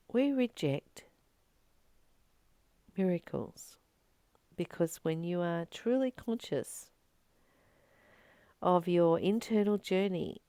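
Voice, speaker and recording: A woman gives an informal spiritual talk at a slow, unhurried pace. Her pitch sits in the middle and swings widely as she earnestly leans on repeated words for emphasis. The sound is close and dry. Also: no echo. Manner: earnest, expressive, emphatic repetition. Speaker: spiritual teacher and channel host.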